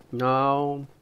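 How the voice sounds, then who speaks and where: A man with a cold casually commenting on his own gameplay, relaxed and halting, coughing now and then. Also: mid-range voice, smooth. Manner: relaxed, halting, frequent restarts and fillers. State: sick, coughing.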